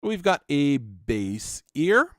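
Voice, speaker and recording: A man hosting a channel, working through tabletop character creation while thinking aloud and reading rules out, with halting pauses. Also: a little high, very animated, fairly bright tone, no echo, clean studio sound. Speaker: channel host.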